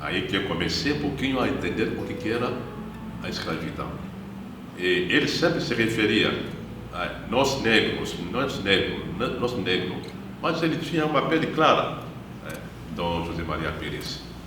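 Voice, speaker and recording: A man, a missionary priest giving a measured, reflective personal testimony in an interview. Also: lowish voice, lively intonation, noisy background. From Democratic Republic of the Congo. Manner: measured, reflective, unhurried storytelling. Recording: echoey room, distant mic, guitar music behind.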